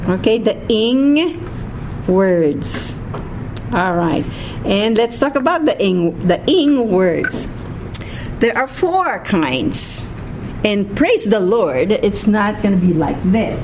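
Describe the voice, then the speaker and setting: A woman's voice: mid-range voice, expressive, takes it slowly. A woman with a Filipino accent teaching a grammar class, patient and repeating key words, often checking in with "okay?". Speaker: Hebrew teacher. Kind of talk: classroom lecture. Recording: noisy room recording.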